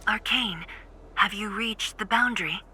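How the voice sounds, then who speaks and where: Very bright, crisp-mannered woman coordinator. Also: medium pitch, unhurried, a little hiss or hum. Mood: businesslike. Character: efficient, businesslike female mission coordinator. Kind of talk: video game voice acting.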